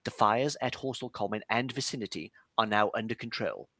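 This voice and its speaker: A man's voice: on the low side, fairly quick, no room sound. A man playing a formal radio announcer. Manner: formal, even bulletin delivery. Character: composed male radio news announcer. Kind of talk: 1930s-style radio drama acting.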